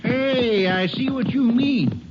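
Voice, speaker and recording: High-voiced folksy American sheriff. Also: male voice, slow pace. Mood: curious, conversational. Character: folksy, easygoing male small-town Texas sheriff. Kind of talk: 1950s American radio drama acting. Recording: noisy, muffled vintage radio recording.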